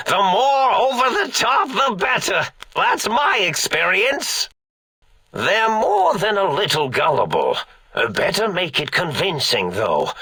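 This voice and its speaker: An eccentric old mad scientist from a video game, with a raspy, gravelly and sharply bright voice. His pitch sits fairly high and swoops theatrically as he rambles in slow, pompous, absent-minded phrases. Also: no room sound, heavily acted. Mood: scheming, playful. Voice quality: raspy, creaky. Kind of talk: video game character acting.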